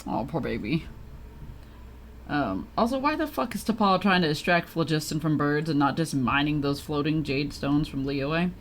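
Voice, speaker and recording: A woman's voice: low voice, expressive, normal speed, slight background noise. A woman doing casual, chatty live gameplay commentary as a streamer, giggly and free with swearing. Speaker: game streamer.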